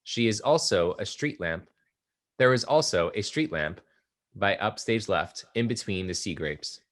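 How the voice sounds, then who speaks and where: Deep-voiced guy reading stage directions, plain steady delivery. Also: unhurried.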